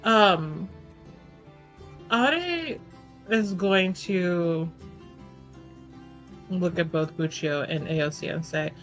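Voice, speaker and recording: Bright-voiced woman, slow and deliberate. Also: on the low side, faint music behind. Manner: deliberate, thinking aloud. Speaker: tabletop roleplaying player. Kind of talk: casual, reasoned game table talk.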